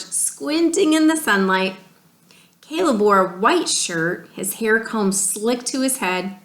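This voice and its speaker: A woman's voice: medium pitch, a bit bright. A woman teacher reading a storybook aloud, expressively and speaking directly to children. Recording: echoey room.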